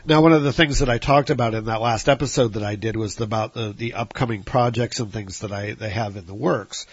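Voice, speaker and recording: A man's voice: lowish voice, a little fast, slightly rough, no echo. A man with a Midwestern American accent hosting a solo podcast, sounding nasal and stuffed up from his sinuses, rambling with fillers and restarts in an apologetic way. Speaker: podcast host. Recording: dry, dull lo-fi recording.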